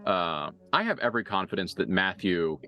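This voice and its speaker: Man, low voice, game master, horror tabletop narration, halting and descriptive, music in background. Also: sing-song, sharp tone. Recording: music playing behind the voice.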